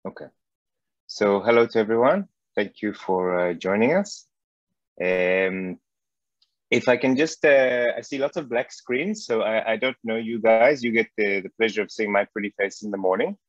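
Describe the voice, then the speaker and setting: A man from South Africa in his thirties lectures informally on a video call. His voice is medium in pitch, with a hesitant, gently joking way of talking. The sound is close and dry but a little muffled. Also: moderate pace, dry recording. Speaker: guest lecturer. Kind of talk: informal online teaching talk. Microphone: wireless earbuds on a video call.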